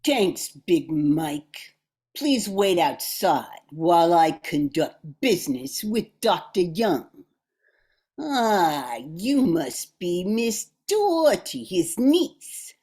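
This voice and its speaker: A ruthless female mob boss in her fifties, played by a woman for a radio drama. She speaks slowly in a fairly low voice, with a calculating, commanding menace. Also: sing-song, dark tone, husky, full character voice. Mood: cool, commanding. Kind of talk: amateur radio drama acting.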